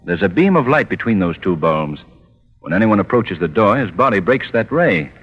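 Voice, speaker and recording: An actor playing a suave, brooding gentleman sleuth in old radio drama, measured and urbane. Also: fairly low pitch, sing-song, slightly rough, lo-fi sound. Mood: pensive. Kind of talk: 1930s radio drama acting.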